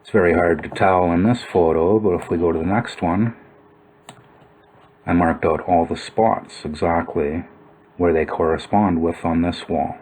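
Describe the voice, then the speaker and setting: A man with a low-pitched voice narrates a video in an informal, explanatory style. He muses as he goes, thinking aloud as he works through what he is examining. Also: fairly even intonation, unhurried, darkish tone, slightly rough. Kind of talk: informal explanatory commentary over old photos.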